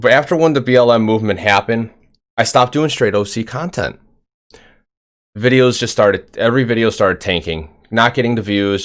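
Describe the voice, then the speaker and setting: A man's voice: lowish voice, a bit bright. Guy hosting a chill interview stream, rambles a lot, lots of "like" and "uh". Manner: rambling, filler-heavy, upbeat. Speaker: podcast host. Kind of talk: casual interview chat.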